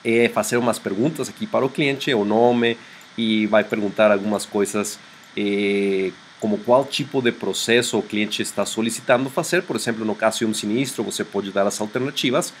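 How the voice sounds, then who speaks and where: A man's voice: fairly low pitch, slight background noise. A man in his thirties from Mexico, a native Spanish speaker with a Mexican Spanish accent, gives an earnest product demo full of hesitant fillers, recorded on a laptop mic in a small room. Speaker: startup founder presenting a product demo. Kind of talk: screen-share sales demo.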